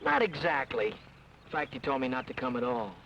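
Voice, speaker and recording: A man's voice: mid-range voice, big pitch swings, normal speed, vocal fry, fairly bright tone, a little hiss or hum. An actor playing an eager, cheerful young Irish-American man who talks in a chatty way, in 1940s radio acting. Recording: muffled old radio broadcast.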